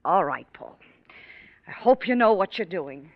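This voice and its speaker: Worried american wife, reproachful, voice jumps up and down, muffled old radio. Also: female voice, fairly low pitch, big pitch swings, a little slow, loud music behind the voice. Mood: uneasy. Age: middle-aged. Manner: worried, sceptical, sighing. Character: anxious, reproachful, middle-aged wife and mother. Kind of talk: old-time radio drama acting. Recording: noisy, muffled vintage radio recording.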